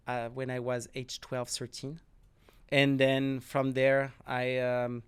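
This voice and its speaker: French-accented middle-aged academic man, explanatory podcast guest, plain mid-pitched voice, loose phrasing. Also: smooth. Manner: explanatory, loose non-native phrasing with restarts. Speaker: marine ecology professor, podcast guest. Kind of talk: podcast interview.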